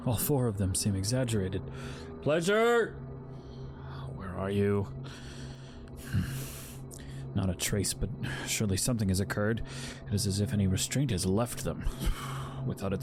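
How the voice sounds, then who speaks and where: An actor playing a solemn, anxious guardian spirit of fear, speaking formally and haltingly in an audio drama. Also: male voice, lowish voice, lively intonation, over music, natural voice. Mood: worried. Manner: formal, halting, stilted wording. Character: Fear, solemn, anxious male emotion spirit, self-sacrificing protector.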